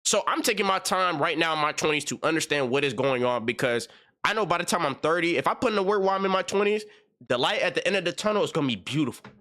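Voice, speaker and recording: A man in his twenties gives casual reaction commentary, rambling in slang and stumbling over repeated words. His voice sits a bit high and sounds bright and edgy. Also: expressive, fast. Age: 20s. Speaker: reaction channel host.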